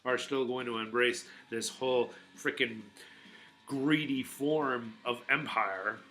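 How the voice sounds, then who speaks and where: A man's voice: mid-range voice, takes it slowly, sharp tone, low-quality recording. A middle-aged man rambling casually to his viewers, loose and full of filler words and restarts. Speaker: vlogger.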